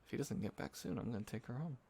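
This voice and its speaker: A man acting as a sentimental young guy catching up with a close friend, talking in a casual, halting, soft-hearted ramble for an intimate roleplay audio. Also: medium pitch. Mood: delighted. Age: young adult. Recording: clear background ambience noise. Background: quiet city ambience.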